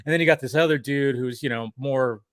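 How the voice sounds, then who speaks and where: A man co-hosting a casual chat, animatedly recapping a cartoon episode with quick acted-out quotes and casual swearing. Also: medium pitch, dry recording. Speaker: podcast co-host.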